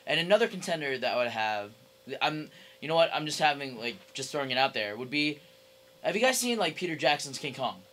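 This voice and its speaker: A man's voice: a little high, very animated, fairly quick, sharp tone. A young man chatting casually with friends about games, rambling emphatically and swearing freely.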